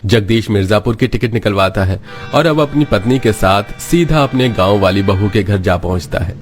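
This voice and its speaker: कहानी सुनाता पुरुष कथावाचक, कुछ भारी आवाज़. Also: male voice, fairly low pitch, lively intonation, normal speed, soft background music. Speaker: narrator. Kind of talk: scripted cartoon story narration.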